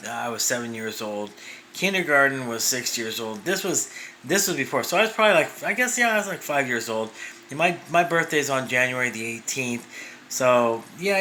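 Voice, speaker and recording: A man's voice: mid-range voice, pushed and bright, slight background noise. A man in his fifties telling a personal story as a vlogger, rambling with many restarts and fillers. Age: 50s.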